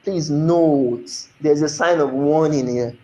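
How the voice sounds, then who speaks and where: A man's voice: on the high side, slow pace, mellow, roomy sound, lo-fi sound. A man from Nigeria with a Nigerian accent, teaching a recorded French lesson, explaining step by step and often checking "you understand?".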